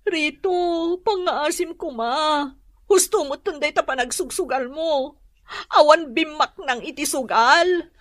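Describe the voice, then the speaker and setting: A woman's voice: sits high, a little slow, dry recording. A woman playing an aggrieved, pleading wife in a radio drama, scolding in a plaintive, emotional way. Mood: pleading, upset. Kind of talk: Filipino radio drama acting.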